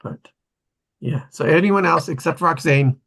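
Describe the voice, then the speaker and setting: Husky, creaky-voiced man chatting. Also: on the high side, a little slow, fairly bright tone. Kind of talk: casual group chat.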